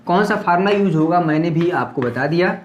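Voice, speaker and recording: A man's voice: a little high, animated, fairly quick, roomy sound, muffled recording, a little hiss or hum. A man teaching a maths lesson at a board with a slightly sore throat, talking casually and often checking that students follow. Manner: chatty, repeats checks like "clear?" to students.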